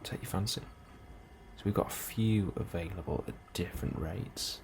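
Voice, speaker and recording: A man in a soft, hushed ASMR role-play, speaking calmly and unhurriedly as a helpful colony immigration officer, close to the microphone. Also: deep voice. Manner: hushed, unhurried, sometimes dropping into whisper. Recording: clearly audible background ambience noise.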